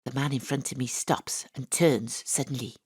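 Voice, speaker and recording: A woman's voice: a bass voice, steady tone, moderate pace, darkish tone, breathy edge, no room sound, heavily acted. A woman playing a wry, hard-boiled private detective, narrating her case dryly in a noir audio drama. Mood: wry, gritty. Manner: wry, dry first-person storytelling.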